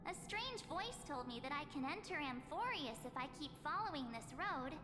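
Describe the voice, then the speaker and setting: A bubbly, chatty young female companion with a high, bright voice. Her lines sound roomy and reverberant, with loud game music playing behind. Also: very animated, normal speed.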